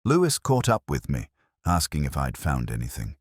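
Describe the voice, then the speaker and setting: A man giving a measured, brooding first-person narration of a detective story. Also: rumbling low, wildly swooping pitch, dry recording, studio quality. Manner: measured, brooding, literary delivery.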